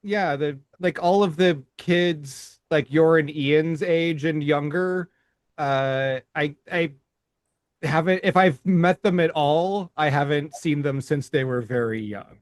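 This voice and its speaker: A middle-aged man co-hosting a casual podcast, speaking in halting phrases with many restarts and stammers. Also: a little high, dry recording, studio quality. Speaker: podcast co-host. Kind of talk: casual chat.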